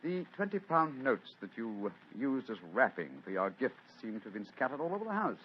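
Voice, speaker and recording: An actor playing a brisk, brilliant gentleman detective in an old radio play, crisp and commanding, on a dull lo-fi recording. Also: mid-range voice, sing-song, a little hiss or hum, lightly acted. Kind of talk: 1940s radio acting.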